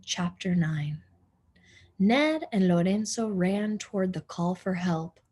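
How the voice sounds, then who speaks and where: A woman teacher narrates a children's storybook. Her delivery is slow and expressive, with a very lively melody to each line. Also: fairly low pitch, husky. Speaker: teacher reading aloud.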